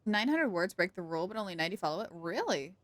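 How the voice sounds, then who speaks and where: A woman's voice: lowish voice, sing-song, fast talker, no room sound. A woman streamer giving casual, playful and reactive live commentary on a video game. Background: game music.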